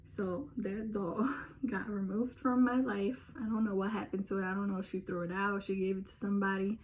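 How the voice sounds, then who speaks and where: A woman's voice: mid-range voice, level tone, normal speed, slight background noise, low-quality recording. A young woman telling viewers a personal story in a casual, earnest way, talking straight to her audience. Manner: earnest, insistent, addresses viewers directly. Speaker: YouTuber.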